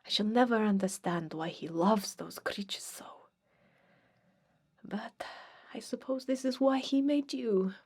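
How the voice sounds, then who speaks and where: A woman's voice: medium pitch, darkish tone. A woman voicing a cold, haughty, ancient female vampire in a whispered ASMR roleplay, speaking formally and with disdain. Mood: haughty dismissal. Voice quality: breathy, whispering. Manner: disdainful, formal, archaic phrasing, deliberate. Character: haughty, cold, centuries-old female vampire blood hunter recruiter.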